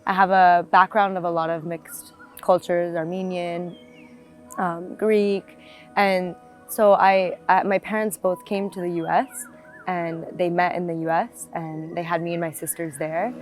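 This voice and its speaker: Reflective young woman telling her story. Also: on the low side. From South Florida, United States. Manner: reflective, with frequent fillers and restarts. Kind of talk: personal storytelling interview. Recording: music playing behind the voice.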